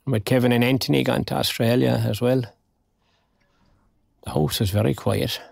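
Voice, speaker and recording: A recently retired Irish man in his sixties, lonely but wry. His gravelly voice stays understated and wistful, as in an intimate audio drama. The sound is dry, with no room around it. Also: medium pitch, fairly even intonation, moderate pace, no room sound. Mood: wistful, resigned. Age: 60s. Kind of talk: naturalistic audio drama acting.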